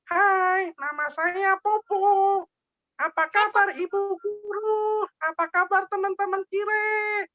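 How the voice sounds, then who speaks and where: Casting: male storyteller as high-voiced friendly baby panda for kids' tales, slow delivery, muffled recording. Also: steady tone, soft background music. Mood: friendly, cheerful. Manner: slow, simple greetings to small children. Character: cute, friendly, helpful young panda cub. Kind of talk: children's storytelling.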